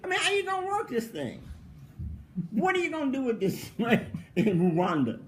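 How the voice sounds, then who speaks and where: Animated man, mocking rhetorical questions. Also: very high voice, normal speed, a little hiss or hum. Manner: emphatic, repeats points for stress. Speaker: philosophy professor. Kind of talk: conversational interview answer.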